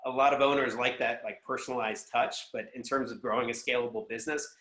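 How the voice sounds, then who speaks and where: A man's voice: mid-range voice, fairly even intonation, fast talker, a bit bright. Echoey man talking business advice. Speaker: guest, sales executive and consultant. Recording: roomy, echoing voice pickup.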